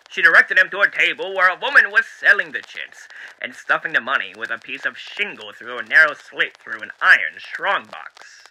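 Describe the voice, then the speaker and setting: A man's voice: fairly high pitch, sharp tone, poor audio. A man voicing a genteel, eerily cheery demon radio host with showy, mannered delivery, in the style of 1930s radio acting. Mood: storytelling.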